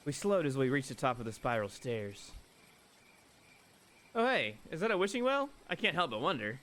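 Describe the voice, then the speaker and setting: A man's voice: fairly high pitch, normal speed. A man reading a visual novel game aloud with playful drama and casual, sweary chat. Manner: animated, casual, profane.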